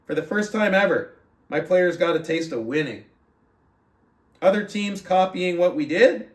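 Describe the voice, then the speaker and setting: A man's voice: sits high, lively intonation, measured pace. A man speaking as an earnest, conversational motivational book club host, moving between talking to listeners and reading aloud. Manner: earnest, conversational, rhetorical check-ins like "right?". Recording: roomy echo, distant mic.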